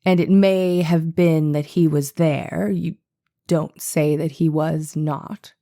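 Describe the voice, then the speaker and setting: A woman playing a male defense attorney in a courtroom drama reading, questioning in a formal, deliberate, insistent and precise way. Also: low voice, fairly even intonation, warm tone. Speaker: voice actor reading a trial transcript.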